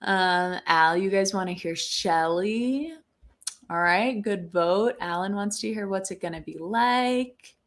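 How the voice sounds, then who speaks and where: A woman's voice: medium pitch, slow. A woman singer-songwriter hosting a livestream, chatting casually with viewers between songs and reading out their comments and votes. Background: loud guitar playing.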